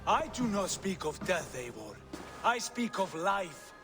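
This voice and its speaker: A man's voice: high voice, big pitch swings, measured pace, full character voice. A man playing a lofty, fervent Viking nobleman in a video game cutscene, speaking formally and portentously. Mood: fervent, pleading. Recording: music clearly behind voice.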